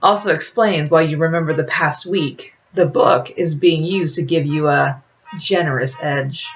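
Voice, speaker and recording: A woman's voice: low-pitched, measured pace, muffled recording, a little hiss or hum. A woman voicing a prim, secretive female ally in a novel reading, with a firm, formal manner. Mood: matter-of-fact.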